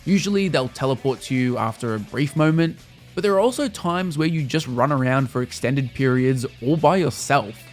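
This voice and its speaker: A man's voice: medium pitch, animated, moderate pace, faint music behind. A man narrating a scripted video game review in a casual, wry manner with the odd mild swear.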